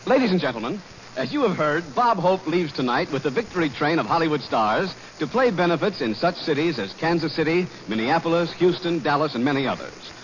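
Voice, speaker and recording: A male announcer reading a 1940s radio commercial in a brisk, persuasive way. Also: on the high side, sing-song. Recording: dull 1940s radio broadcast, faint hiss.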